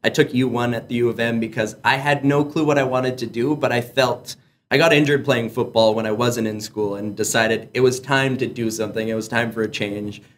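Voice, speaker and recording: A man's voice: mid-range voice, fairly even intonation, brisk, a little hiss or hum. A man hosting an interview podcast, asking questions and sharing his own stories in a casual, conversational way. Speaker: podcast host.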